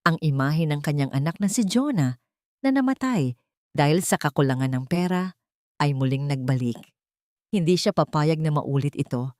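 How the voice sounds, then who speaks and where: A woman reading a melodramatic audiobook story in a measured, dramatic storytelling manner. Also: low voice, lively intonation, darkish tone, no echo, clean studio sound. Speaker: narrator. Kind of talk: scripted audiobook narration.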